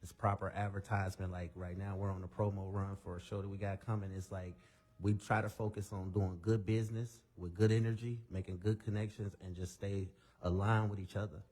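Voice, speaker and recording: A young man speaking as a guest singer in a casual radio interview, relaxed and candid as he tells his story. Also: low-pitched, brisk.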